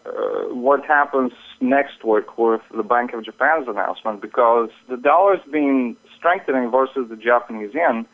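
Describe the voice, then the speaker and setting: A man giving market commentary as an interview guest over the telephone, explaining things in a somewhat halting way. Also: medium pitch. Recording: phone line with narrow, radio-like sound.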